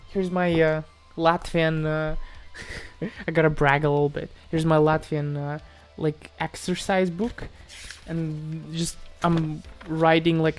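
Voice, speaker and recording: A man from Moldova chatting casually on a livestream as he answers viewers, hesitant, often repeating himself and trailing off. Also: a little high. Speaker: live streamer answering chat questions.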